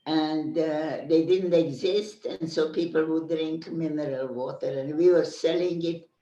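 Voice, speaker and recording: Older woman, oral history witness, slow and halting, roomy distant sound. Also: low voice, steady tone, dark tone, muffled recording. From Carpathian Ruthenia. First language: Hungarian. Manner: slow, searching for words with many pauses. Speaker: interviewee. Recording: echoey room, speaker off mic.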